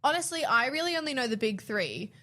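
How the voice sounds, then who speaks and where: A young woman co-hosting a podcast, chatting excitedly and swearing freely. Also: sits high, brisk, a little hiss or hum. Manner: excitable, swears freely, says "literally" a lot. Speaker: podcast co-host. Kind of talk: casual chat.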